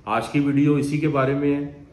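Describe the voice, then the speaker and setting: A man's voice: fairly high pitch, flat delivery, moderate pace, darkish tone. Pakistani man giving earnest advice in an echoey room. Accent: Pakistani Urdu. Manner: earnest, conversational, explaining with asides. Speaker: renovation company owner. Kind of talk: direct-to-camera advice talk. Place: office room, seated at a wooden desk. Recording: echoey room with faint ambience.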